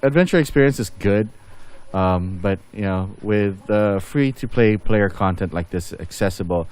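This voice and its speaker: A man's voice: lowish voice, moderate pace, darkish tone, clean tone. A man giving casual game update commentary as a channel host, rambling and thinking aloud as he goes.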